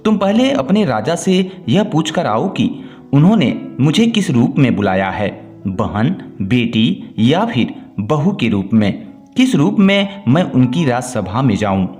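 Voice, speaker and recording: A man's voice: mid-range voice, big pitch swings, a little slow, darkish tone. A man voicing a clever, dutiful young daughter-in-law in a folk tale, explaining herself politely and with assurance. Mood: calm, assured. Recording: music bed under the voice.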